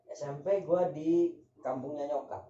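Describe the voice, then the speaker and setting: A man's voice: on the high side, moderate pace, warm tone, a little hiss or hum. A young man from Java chatting casually, joking in slang and laughing often. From Java, Indonesia. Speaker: guest. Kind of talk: casual chat with some singing. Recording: echoey, distant, dull room sound.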